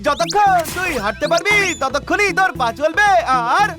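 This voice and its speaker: Comic bandit boss for a cartoon: very high-pitched, bossy, bombastic, clear music behind. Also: animated, moderate pace.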